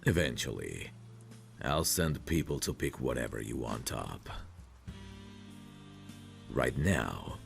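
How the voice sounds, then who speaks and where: A man playing a possessive, protective mafia boss in an intimate audio roleplay, calmly menacing, commanding and smug. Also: faint music behind, heavily acted. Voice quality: deep, gravelly. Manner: slow, commanding, smug, teasing endearments.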